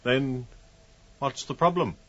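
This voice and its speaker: A man's voice: mid-range voice, takes it slowly, fairly bright tone, muffled recording. A man playing an impatient, self-assured swindler in a radio drama, curt and insistent in a controlled way. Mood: insistent, irritated.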